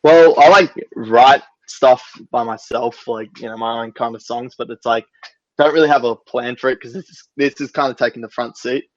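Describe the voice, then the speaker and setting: Aussie bloke, average pitch, jokey sweary chat, slightly muffled dry audio. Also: male voice, moderate pace, no room sound. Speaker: band musician, podcast guest. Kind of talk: casual chat. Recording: slightly dull lo-fi connection.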